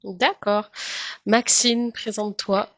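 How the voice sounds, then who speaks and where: A woman hosts a chat show and steers guests with brief, prompting questions. Her voice is mid-pitched. The sound is close and dry. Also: steady tone, takes it slowly, fairly bright tone, no echo. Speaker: host. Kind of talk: interview hosting.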